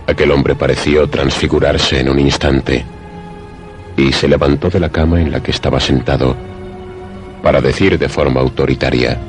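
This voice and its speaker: A man narrating a scripted suspense radio drama in a measured, formal, literary manner. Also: very deep voice, little pitch movement, faint music behind.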